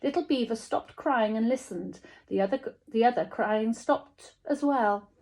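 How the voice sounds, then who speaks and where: A woman's voice: fairly high pitch, measured pace, darkish tone. A woman reading a children's storybook aloud expressively, like a teacher telling a story to small children.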